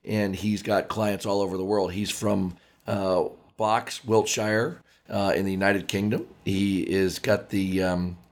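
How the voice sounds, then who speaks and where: A man hosting a podcast, talking in a casual, chatty way with a raspy, hoarse voice, often repeating words and filling pauses. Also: sits low, level tone. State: hoarse from overuse. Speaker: podcast host.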